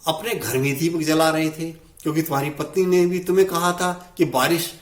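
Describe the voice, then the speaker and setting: Man voicing a patient, just God, high-pitched and echoing. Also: fairly even intonation, close to an everyday voice. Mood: patient, explaining. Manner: measured, explanatory, emphatic. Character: God in heaven, calm, just, patiently explaining.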